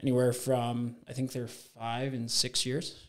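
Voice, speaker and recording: A man's voice: medium pitch, monotone, measured pace. A man in his thirties from Ontario, a podcast guest who talks casually in long, rambling runs, full of fillers and self-corrections. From Ontario, Canada. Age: 30s. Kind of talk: casual chat.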